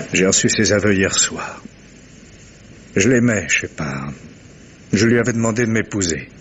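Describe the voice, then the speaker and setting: A tormented, proud gentleman in an old French radio mystery. His low voice is solemn and declamatory, full of theatrical anguish, with faint noise from the vintage recording. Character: anguished, stubborn wealthy gentleman confiding a dark secret.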